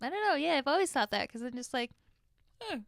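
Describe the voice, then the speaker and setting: A woman's voice: sits high, sing-song, quick pace, vocal fry, fairly bright tone, dry recording, clean studio sound. A young woman hosting a podcast, chatting casually in an upbeat, slangy, enthusiastic way. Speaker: podcast host.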